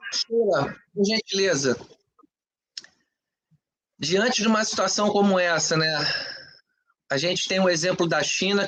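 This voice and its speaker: A man's voice: on the high side, a bit bright, rough recording. A man from Brazil co-hosting a podcast, giving emphatic opinions in casual talk with frequent rhetorical questions and tag questions. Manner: conversational, frequent "entendeu?" and "né?" tags. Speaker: podcast co-host.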